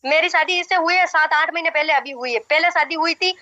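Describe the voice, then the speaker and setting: A woman's voice: very high voice, fairly quick, sharp tone, no echo. A young woman arguing insistently and repeating herself on a recorded phone call. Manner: insistent, repetitive, argumentative. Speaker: caller in a marriage dispute. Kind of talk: heated phone conversation.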